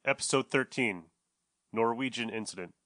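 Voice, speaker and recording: A man's voice: mid-range voice, moderate pace, sharp tone, dry recording, clean studio sound. A man hosting a podcast, giving an informal introduction to an episode. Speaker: podcast host.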